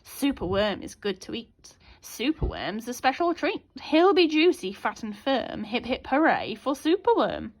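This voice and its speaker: A woman's voice: a little high, sing-song, unhurried, hardly any pauses, low-quality recording. A woman voicing a grim crow servant in a storybook, gloating with relish.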